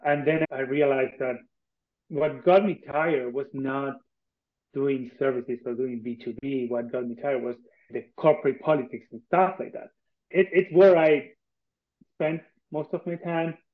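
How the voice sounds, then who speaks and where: An Argentine-accented man talks as an interview guest in a conversational, earnest way. His pitch sits in the middle for a man, and he leans on repeated phrases for emphasis. The audio is thin and narrow, like a phone call. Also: fairly even intonation, measured pace. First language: Spanish. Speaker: interview guest, tech company co-founder.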